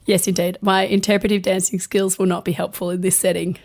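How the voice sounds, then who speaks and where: A woman doctor co-hosting a health podcast, hoarse from laryngitis, telling stories in a chatty, earnest way. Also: mid-range voice, little pitch movement, a little fast. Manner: chatty storytelling, gentle and earnest. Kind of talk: casual podcast chat.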